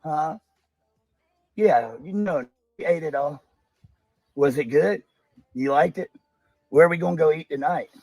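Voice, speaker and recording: A man in a played clip gently coaxing and teasing someone with soft questions. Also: on the high side, measured pace, long pauses, rough recording. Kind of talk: coaxing talk to someone nearby.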